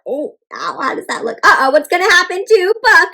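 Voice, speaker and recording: Super expressive high voiced lady reading a picture book to kids, asks lots of questions, silly sound effects. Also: brisk, vocal fry. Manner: animated, exclaiming, questioning listeners, playful sound effects. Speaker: read-aloud host for children. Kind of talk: interactive children's storybook read-aloud.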